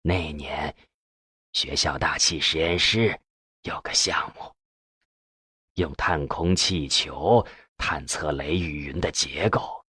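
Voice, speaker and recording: A man voicing an ailing elderly professor in an audiobook, with a weak, breathy, halting voice. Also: extremely low pitch, pushed and bright, no echo, clean studio sound, voice pushed down, full character voice. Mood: measured, explanatory. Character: ailing elderly male professor, frail, eager about research.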